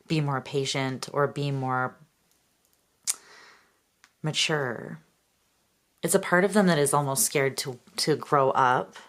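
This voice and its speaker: Hoarse woman, very deep register, casual tarot reader, frequent throat clearing, rambling delivery. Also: fairly bright tone. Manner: keeps clearing her throat, meandering with fillers. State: hoarse, losing her voice. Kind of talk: casual tarot reading to viewers.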